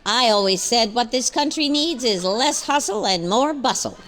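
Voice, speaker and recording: A woman's voice: a little high, expressive, moderate pace, fairly bright tone. A woman playing a wry, sensible, long-suffering middle-aged wife with folksy teasing, in 1940s radio comedy style. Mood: sweetly teasing.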